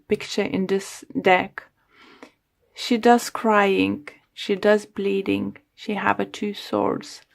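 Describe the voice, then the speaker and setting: Slow, gravelly-voiced woman tarot reader. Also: medium pitch, darkish tone. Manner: rambling, loose phrasing, many fillers. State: losing her voice. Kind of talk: solo tarot reading to camera.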